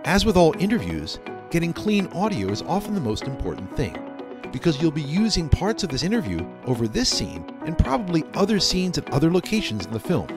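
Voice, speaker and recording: A man's voice: fairly high pitch, wildly swooping pitch, brisk, over music. A man narrating a scripted instructional video as the instructor.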